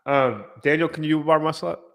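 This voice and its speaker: Male podcast host, bright tone, stuffy nasal cold, joking coach energy. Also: a little high, brisk, vocal fry. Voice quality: slightly nasal. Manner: teasing, coach-like. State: congested from a cold. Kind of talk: casual chat.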